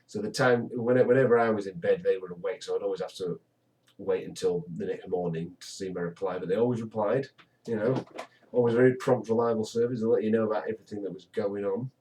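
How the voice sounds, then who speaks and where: A man's voice: fairly low pitch, quick pace, dark tone, a little hiss or hum. A young British man from the UK casually reviewing a guitar he bought, talking in a rambling, hesitant way full of restarts. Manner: rambling, hesitant, self-correcting. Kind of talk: casual product review vlog. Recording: voice a bit far from the mic.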